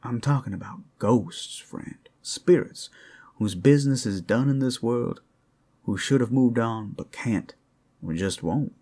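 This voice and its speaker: A man playing a flirtatious, rowdy but friendly werewolf in an ASMR roleplay, with a gravelly, husky voice and a folksy, teasing drawl. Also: medium pitch, unhurried, dark tone. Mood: hushed, wary.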